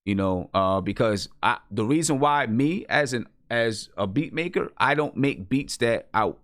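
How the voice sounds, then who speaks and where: A man's voice: mid-range voice, lively intonation, measured pace, fairly bright tone, no room sound. Dry-sounding man chatting casually, emphatically. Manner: emphatic, repeats his phrases. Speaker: show host and beat maker. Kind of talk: casual chat about music.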